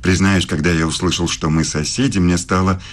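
An actor playing a suave middle-aged philosophy professor in a radio play, speaking in a measured, formal, probing way. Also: male voice, deep voice, steady tone, lightly acted.

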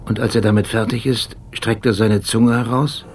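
Guy playing obsessive brooding cop in a radio play, low voice, precise short sentences, noise behind him. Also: fairly even intonation, moderate pace, natural voice. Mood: brooding, remembering. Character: obsessive, brooding male police detective recounting memories. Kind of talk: scripted radio drama monologue.

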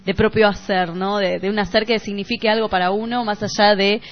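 A woman's voice: medium pitch, fairly even intonation, brisk. A woman with a Rioplatense Argentine accent, talking casually as co-host in a radio discussion. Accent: Rioplatense Argentine Spanish. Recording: dull lo-fi radio recording, music behind. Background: music.

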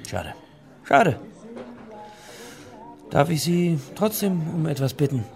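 A man's voice: on the high side, sing-song, slow pace, vocal fry, a little mellow, soft background music, heavily acted. An actor playing a chatty, melancholy man with an urbane, wry, persuasive manner in a German radio play. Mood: coaxing, wistful. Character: chatty, melancholy man coaxing a new acquaintance.

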